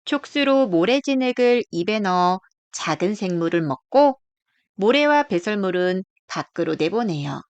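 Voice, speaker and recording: Lady reading a kids nature book out loud, animated melody, dry close sound, explains things carefully. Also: mid-range voice, normal speed, clear, resonant tone, no echo, highs cut off. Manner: careful, explanatory read-aloud delivery. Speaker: storybook narrator. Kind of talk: scripted children's nature book narration.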